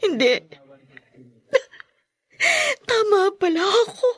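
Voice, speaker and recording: An actress playing a hurt, suspicious young wife in a Filipino drama, with a breathy voice and Filipino accent. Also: female voice, extremely high pitch, big pitch swings, slow pace, no echo, heavily acted. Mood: distressed disbelief. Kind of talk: Filipino radio drama acting.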